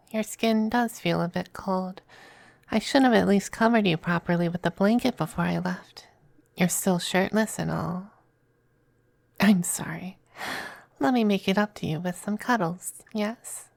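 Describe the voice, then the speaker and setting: A performer acting a smug, affectionate demon femboy in an intimate ASMR roleplay, teasing and coy, with breathy turns into whispers. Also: mid-range voice, dry recording. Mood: tender, coaxing.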